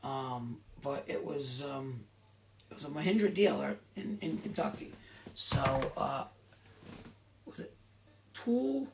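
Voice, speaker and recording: A man's voice: mid-range voice, slow, creaky, long pauses, noisy background. A middle-aged man hosting a live question-and-answer stream, talking in a rambling, chatty way with many restarts and asides. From Rhode Island. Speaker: host of a live Q and A. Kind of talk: rambling casual chat.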